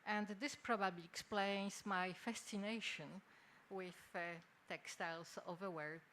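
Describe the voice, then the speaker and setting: An older woman from Poland with a Polish accent gives a formal conference lecture in halting, hesitant phrases. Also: mid-range voice, steady tone, fairly bright tone. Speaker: academic speaker, textile researcher. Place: indoor symposium venue. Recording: noisy live symposium room recording.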